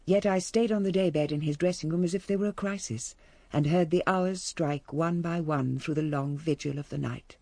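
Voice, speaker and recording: An actress playing a composed, genteel middle-aged English lady in a radio drama, speaking with measured grace. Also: low voice, dark tone, dry recording. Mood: grave recollection. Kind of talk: radio drama acting and first-person narration.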